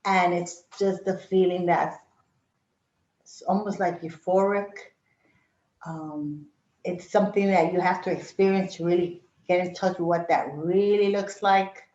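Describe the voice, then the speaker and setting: A middle-aged woman talks through her memories in a relaxed, rambling way, full of fillers and little laughs. Her voice sits at an ordinary pitch. The recording is roomy and slightly dull. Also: unhurried. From Puerto Rico, raised in Brooklyn, New York. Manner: reflective, many fillers, laughs often. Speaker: interview guest. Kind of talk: casual reminiscing interview.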